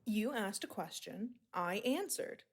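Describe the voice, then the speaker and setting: An actress playing a wry, unflappable female patient in a radio drama, casual and quick with comebacks. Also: mid-range voice, lively intonation, slightly rough. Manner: sardonic, casual.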